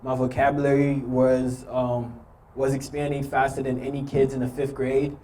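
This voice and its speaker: Nervous teen guy from haiti telling his story, flat mellow voice, lots of ums. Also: medium pitch, moderate pace, a little hiss or hum. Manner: nervous, hesitant, many ums. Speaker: youth speaker sharing his story. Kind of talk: personal testimony speech.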